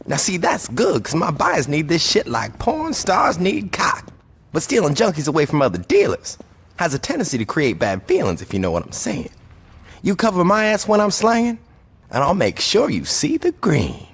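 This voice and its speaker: An actor playing a brash, scheming drug dealer in a video game, slangy and swaggering with a husky, gravelly voice. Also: male voice, a little high, wildly swooping pitch, normal speed, full character voice. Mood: cocky.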